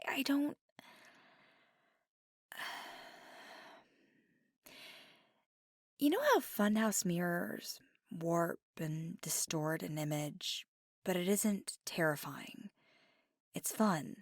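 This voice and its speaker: A woman voicing a playful, mischievous, kindly witch in an intimate, unhurried ASMR monologue that slips into near-whispers. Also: on the low side, gravelly, no room sound, clean studio sound, full character voice. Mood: gentle, reassuring. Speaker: ASMR audio performer.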